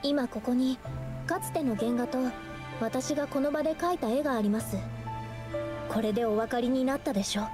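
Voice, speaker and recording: A gentle young painter voiced by a woman for an anime game. Her voice is high, earnest and polite, with a shy, soft-hearted temper. Game music plays clearly behind her. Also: fairly quick, close to an everyday voice. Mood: resolute. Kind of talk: Japanese anime game voice acting.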